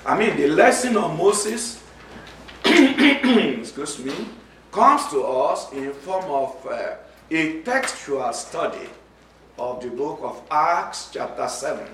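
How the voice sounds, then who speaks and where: A man with a Nigerian accent preaching a sermon, speaking slowly and distinctly and reading scripture aloud. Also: fairly high pitch, lively intonation, fairly bright tone, slight background noise. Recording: echoey room, from far off.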